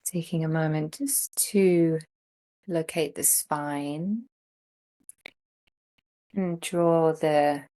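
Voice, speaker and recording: A woman teaching a guided meditation, speaking gently in short phrases with long pauses between them. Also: low voice, steady tone. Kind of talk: guided meditation, slow instruction.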